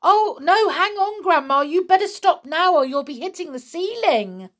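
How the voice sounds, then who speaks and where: A woman's voice: high-pitched, big pitch swings, slow pace, voice pushed up, exaggerated voice. A woman voicing an anxious, polite young boy in a children's story, hesitant and protesting. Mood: alarmed. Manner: hesitant ums, exclaiming warnings.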